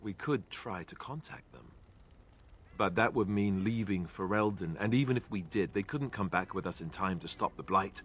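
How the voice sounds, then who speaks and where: A man voicing a young male warrior companion who talks in a plain, steady, slightly glum way. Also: sits low, moderate pace. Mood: grim.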